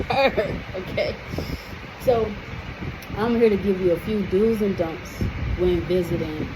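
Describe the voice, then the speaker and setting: An American woman in a casual to-camera vlog, talking in a chatty, emphatic way and checking in with the viewer often. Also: lowish voice. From United States. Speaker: vlogger. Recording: noisy room with air conditioning hum.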